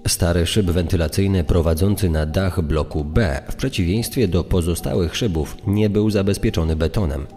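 A man's voice: low-pitched, moderate pace, few pauses, dark tone. A man reading scripted documentary narration in a recording with long reverb. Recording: long reverb over background music.

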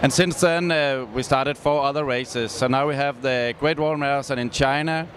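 Man in his 30s, relaxed, short answers with fillers, handheld mic, noisy expo hall. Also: mid-range voice, normal speed. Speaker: race company representative. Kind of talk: expo interview. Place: busy indoor expo hall. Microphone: handheld mic close-up.

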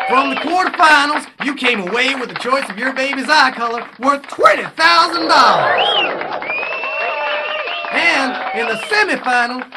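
An actor playing a slick, theatrical game show host in a dystopian audio drama. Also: male voice, very high-pitched, very noisy, heavily acted. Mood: gushing. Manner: theatrical, gushing announcer delivery.

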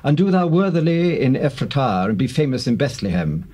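An actor playing a grave town elder in a dramatized Bible, ceremonial and declamatory. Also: male voice, mid-range voice, big pitch swings, a little fast, warm tone, slightly rough. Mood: solemn blessing. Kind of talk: dramatized King James Bible acting.

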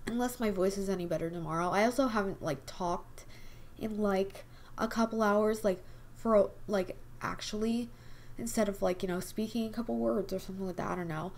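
A young woman hosting a casual game video, her voice hoarse and quiet from a cold, rambling with lots of fillers. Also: mid-range voice, a little fast. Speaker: gaming channel host. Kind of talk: casual chat over gameplay. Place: home desk at night.

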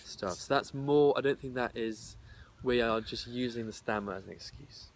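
A man's voice: mid-range voice, normal speed, a little hiss or hum. A young man who stammers and repeats words, talking thoughtfully in a casual outdoor group discussion. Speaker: discussion participant. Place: outdoors at a garden table.

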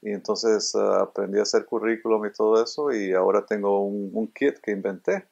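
Low-pitched gravelly man from Honduras, middle-aged, earnest and halting, repeats himself, interview guest in a robot-filled room, somewhat dull lo-fi recording. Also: steady tone, darkish tone. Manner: earnest, repeats words while searching for them. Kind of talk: casual interview answers. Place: indoor room full of robots.

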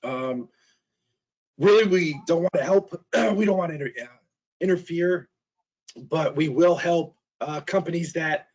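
Man, middle-aged, hoarse, halting presenter, slightly high voice, muffled call audio. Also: a little mellow. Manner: halting, many fillers and restarts, clears throat. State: hoarse, losing his voice. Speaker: trade specialist presenter. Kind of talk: webinar presentation. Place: small office. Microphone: video call. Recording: dull lo-fi video call audio.

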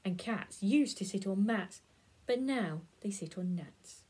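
A woman voicing a smug, bossy male frog in a read-aloud picture book, loud and high. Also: lively intonation, a little slow, breathy edge.

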